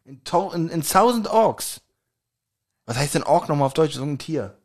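A man's voice: on the high side, sing-song, moderate pace, a little mellow. A man co-hosting a podcast in casual chat, teasing his partner and laughing often. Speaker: podcast co-host. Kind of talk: casual chat recapping a kids' audio drama.

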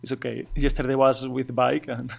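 A man from Asturias with a Spanish accent, speaking halting non-native English as an interview guest, with many restarts and light chuckles. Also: medium pitch, little pitch movement, vocal fry. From Spain, Asturias. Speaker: guest, traveling cyclist. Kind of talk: casual remote interview.

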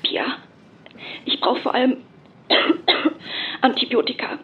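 A woman playing an exhausted, injured young activist in an audio drama, weak and breathy with pain. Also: high voice, slow pace. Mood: weary, pained. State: in pain, coughing. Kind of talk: naturalistic audio drama acting. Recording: dull muffled phone call, faint noise.

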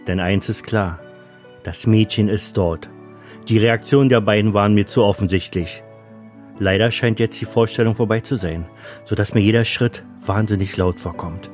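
A man's voice: on the low side, a little mellow. A man reading a scripted first-person story as its narrator.

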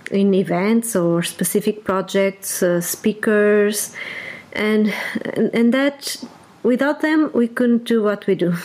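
Slow, mellow Portuguese-accented woman. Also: mid-range voice. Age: 40s. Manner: hesitant, many fillers and restarts, laughs often. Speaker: ministry director, guest. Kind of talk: casual interview chat.

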